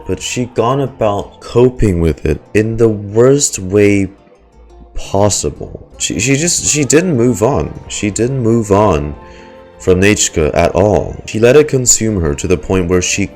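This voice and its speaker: Low-voiced man reacting to a game. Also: darkish tone. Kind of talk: casual reaction commentary.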